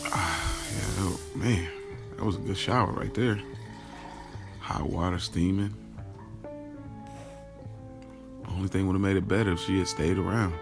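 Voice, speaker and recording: Man acting a deep, gravelly, confiding storyteller. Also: steady tone, normal speed, music in the background. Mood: relaxed, wondering. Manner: casual, slangy, confiding, addresses listeners directly. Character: smitten, boastful, self-questioning man recounting his love life. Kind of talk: first-person audio drama monologue, conversational storytelling.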